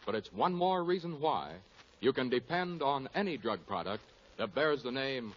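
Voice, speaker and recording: Animated male vintage radio pitchman. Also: moderate pace. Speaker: commercial spokesman. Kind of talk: scripted 1940s radio ad narration. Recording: muffled vintage radio, faint hiss.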